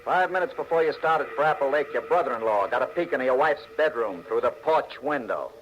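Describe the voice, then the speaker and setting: An actor playing a blunt, sardonic police trooper in a 1940s radio crime drama, talking in a hard-boiled, declarative way. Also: male voice, mid-range voice, animated, a little fast, noisy background, lightly acted. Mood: matter-of-fact. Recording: dull old radio recording.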